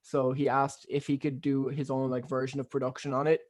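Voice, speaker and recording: A young man from Ireland with a softened Cork accent leaning American, rambling casually through an interview with lots of fillers and restarts. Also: mid-range voice, flat delivery, brisk. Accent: neutral Cork Irish with American influence. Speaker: musician guest.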